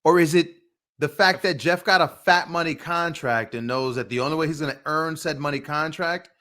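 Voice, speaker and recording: Male co-host for sports banter. Forceful bright tone, a bit high, jokey informal delivery full of slang. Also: normal speed. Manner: joking, slangy, repeats phrases for emphasis. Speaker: co-host of a pro wrestling podcast; pro wrestler. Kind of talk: casual podcast banter.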